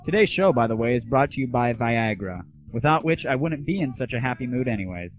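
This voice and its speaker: A man's voice: mid-range voice, animated, brisk, soft background music. An American man hosting an online audio show, ranting in a brash, sarcastic, mocking way. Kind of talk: irreverent solo show, ranting commentary. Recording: dry, band-limited lo-fi voice.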